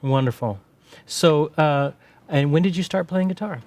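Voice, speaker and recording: A man's voice: fairly high pitch, normal speed, darkish tone, no echo. A man hosting an interview, effusive with praise and murmuring agreement often as his guest talks. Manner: effusive, with frequent affirming murmurs. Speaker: show host.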